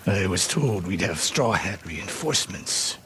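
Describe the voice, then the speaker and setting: An actor playing a gruff old samurai teacher in a video game, terse and grave. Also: male voice, sits low, big pitch swings, unhurried, pushed and bright, slight background noise, heavily acted. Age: older. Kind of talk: video game cutscene acting.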